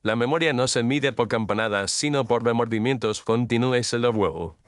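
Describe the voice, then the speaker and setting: A man's voice: medium pitch, a little fast, no room sound, close to an everyday voice. A man playing an ironic, incisive Victorian detective in a scripted audio drama, speaking in sententious lines. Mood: probing.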